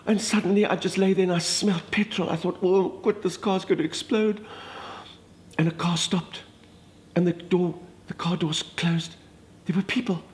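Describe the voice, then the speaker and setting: A grand older lady with a thick Afrikaans accent, as a man puts her on, gushing through a story with dramatic exclamations. The voice sits a little high, full of theatrical flourish. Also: steady tone, normal speed, lightly acted. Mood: gossipy. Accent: Afrikaans South African. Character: chatty older Afrikaner woman, minister's wife, theatrical. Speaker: comedic monologist. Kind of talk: comic storytelling monologue.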